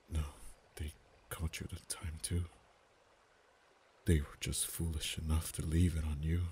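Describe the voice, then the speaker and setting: A man playing a deep, hushed dragon. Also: slight background noise, exaggerated voice. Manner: soft, hushed, often whispered. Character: protective male dragon in human form, tender yet teasing. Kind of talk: ASMR roleplay.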